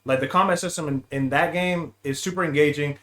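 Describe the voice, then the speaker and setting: A man's voice: fairly high pitch, fairly quick, a bit bright. A man as a podcast guest chatting casually about games in loose, bro-heavy slang. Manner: slangy, calls the other speaker bro and dude. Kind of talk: casual gaming podcast chat.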